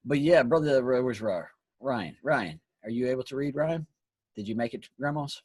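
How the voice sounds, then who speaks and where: A hoarse man who is losing his voice hosts an earnest, preachy motivational talk and often repeats his phrases. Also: medium pitch, animated, moderate pace, darkish tone, no room sound, clean studio sound. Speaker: host. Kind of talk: motivational talk on a live online call.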